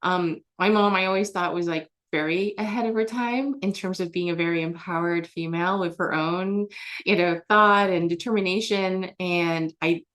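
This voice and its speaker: A woman's voice: on the low side, steady tone, moderate pace, a bit bright. A young adult woman from Germany, a guest on an interview show, answering in a chatty way with many fillers and restarts. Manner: chatty, frequent fillers and restarts, laughs often.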